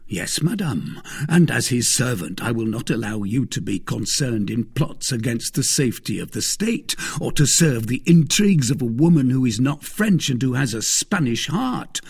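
A man's voice: medium pitch, sing-song, normal speed, vocal fry, few pauses, darkish tone, breathy edge, heavily acted. A man voicing a pompous, boastful merchant husband in a period novel, speaking with self-satisfied emphasis. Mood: pompous, emphatic.

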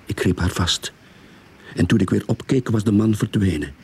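An actor playing the first-person narrator of a suspense radio drama, telling it in a hushed, tense way. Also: male voice, fairly low pitch, moderate pace, lightly acted. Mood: suspenseful. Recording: faint background noise.

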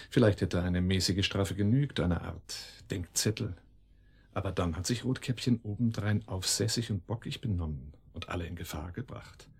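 A cold crime boss with a low, slightly rough voice. He speaks deliberately and sardonically, in the commanding manner of a radio drama villain. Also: exaggerated voice. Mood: reproachful, threatening. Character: cold, menacing male crime boss, calmly cruel. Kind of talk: German radio drama acting.

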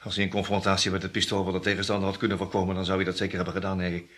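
A man's voice: deep voice, completely flat delivery, rapid-fire, fairly bright tone. An actor in a radio drama playing a grim, determined man, speaking with urgency and bitterness. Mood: bitter, grieving. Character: grim, determined man urgently questioning his dying friend.

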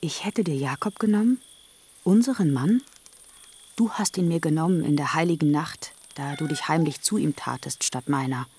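An actress playing Rahel, a childless younger sister who pleads fervently and coaxes, in a radio drama. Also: female voice, low-pitched, normal speed, darkish tone, slight background noise. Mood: pleading.

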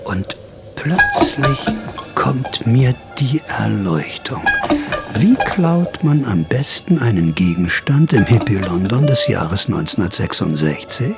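A man's voice: lowish voice, sing-song, takes it slowly, loud music behind the voice, full character voice. A man playing a sly typewriter thief who narrates his own story in a theatrical, wry radio-play style. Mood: conspiratorial. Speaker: first-person narrator and lead of a radio drama.

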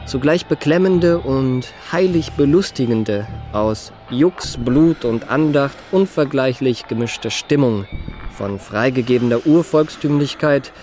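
An actor plays a suave young gentleman in a radio drama. His medium-pitched voice is polished and courteous, with ornate, eloquent phrasing. Music sits faintly behind him. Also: expressive, measured pace, close to an everyday voice. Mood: grave, ornate. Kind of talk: German radio play acting and narration.

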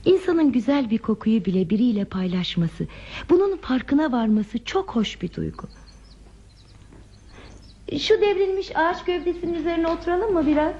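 An actress playing a lonely, withdrawn woman in a radio play, confiding her sad past in a hesitant, soft, reflective way. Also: sits high, very animated, normal speed. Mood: wistful, tender. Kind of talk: Turkish radio theatre acting. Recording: muffled lo-fi radio drama with music.

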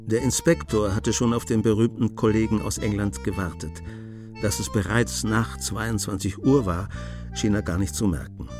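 A man's voice: fairly low pitch, fairly even intonation, normal speed, over music. A man giving scripted narration as the storyteller of a German radio play.

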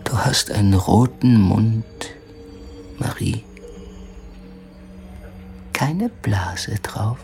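Tormented, brooding man, slow and gravelly. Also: lowish voice, expressive, faint music behind, full character voice. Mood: jealous, brooding. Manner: halting, haunted, breaking into hushed mutters. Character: tormented, brooding, feverish poor man, jealous and haunted. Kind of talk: theatrical German radio play acting.

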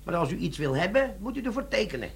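An actor playing a wily, brazen army sergeant, a chummy smooth talker in a comic radio play. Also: male voice, high-pitched, big pitch swings, fast, heavily acted. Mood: feigned innocence. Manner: cheeky, chummy, feigned innocence.

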